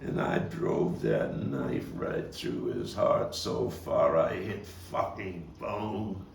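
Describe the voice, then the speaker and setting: In a noisy, roomy space some way from the mic, a man acts out a volatile street gang youth bent on revenge. The low-pitched voice delivers a stage monologue slowly and haltingly, with profane intensity and repeated words. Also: animated, vocal fry, exaggerated voice. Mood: urgent, agitated. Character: volatile, vengeful male street gang youth recounting a bloody fight. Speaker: actor and playwright performing his own monologue. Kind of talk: dramatic stage monologue at a table read. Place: echoey room, table read. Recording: echoey, noisy room, distant mic.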